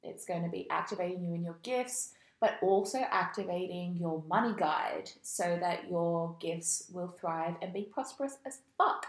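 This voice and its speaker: A woman's voice: on the low side, moderate pace, reverberant, rough recording. A woman co-hosting a podcast in casual chat, bubbly, laughing often and swearing freely. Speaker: podcast co-host.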